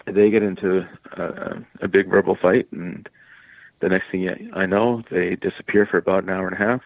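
A man's voice: deep voice, fairly even intonation, normal speed, creaky, no echo. A man, talking casually as an interview guest and telling stories in a conversational way. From Canada. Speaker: interview guest, stage entertainer. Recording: tinny phone-like line, dry.

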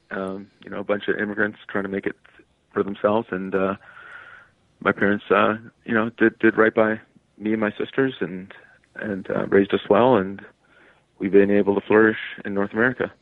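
Phone-line man, low-pitched, telling stories casually. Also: no room sound. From Canada. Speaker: interview guest, stage entertainer. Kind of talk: casual conversational storytelling. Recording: tinny phone-like line, dry.

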